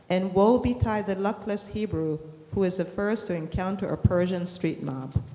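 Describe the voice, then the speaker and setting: A woman's voice: mid-range voice, darkish tone. A woman reading aloud from a formal historical book as a participant in a class. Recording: echoey hall, long reverb.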